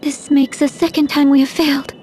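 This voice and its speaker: An actress playing a prim, devout young maiden in a video game, with formal, precise speech and firm certainty. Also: female voice, sits high, flat delivery, a little fast, dark tone, close to an everyday voice. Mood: solemn.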